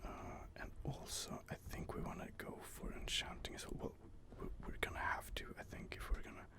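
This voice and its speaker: A man whispering casual gaming ASMR commentary while he reads out game options and muses over them. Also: fairly quick. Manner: soft whispering, casual and musing.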